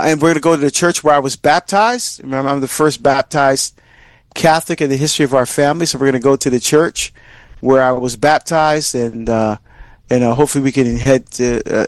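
A middle-aged man from Barbados hosting a religious radio talk, speaking conversationally in an earnest, halting way with repeated phrases. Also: mid-range voice, no echo. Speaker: religious radio host and deacon.

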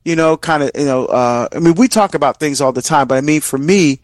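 A middle-aged man from Barbados hosting a religious radio talk, speaking conversationally in an earnest, halting way with repeated phrases. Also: a little high, no room sound, clean studio sound. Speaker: religious radio host and deacon.

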